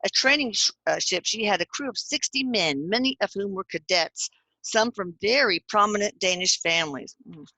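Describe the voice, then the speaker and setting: A woman's voice: medium pitch, expressive, moderate pace, pushed and bright, no echo, low-quality recording. A middle-aged woman hosting a casual chat, reading aloud from notes with joking asides and spelling out names. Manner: chatty, jokey, reads with asides and spelled-out names. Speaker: host.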